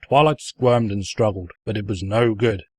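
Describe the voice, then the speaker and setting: A man's voice: lowish voice, normal speed, a little mellow, no echo, clean studio sound, natural voice. A man playing a sarcastic, pompous narrator who mockingly tells a comic fan fiction story. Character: snide, mocking male storybook narrator who insults the heroine.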